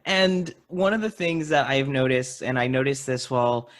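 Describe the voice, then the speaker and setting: A man's voice: medium pitch, normal speed. A man hosting a podcast, talking casually and conversationally with a guest. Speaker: podcast host.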